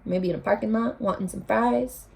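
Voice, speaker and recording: A woman reading aloud to young children like a teacher, expressive and exclamatory, pausing to coax them with questions. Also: medium pitch, gravelly. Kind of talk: animated children's read-aloud with questions to listeners.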